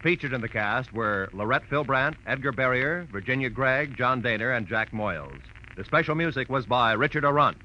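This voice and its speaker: A man's voice: mid-range voice, animated, normal speed, hardly any pauses, pushed and bright, slight background noise. An American man reading scripted announcements in the style of a mid-century radio announcer. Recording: muffled old radio broadcast over music.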